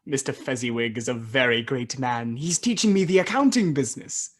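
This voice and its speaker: A woman playing an earnest, wistful man with a husky voice in an amateur radio play. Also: a bass voice, brisk. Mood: shy.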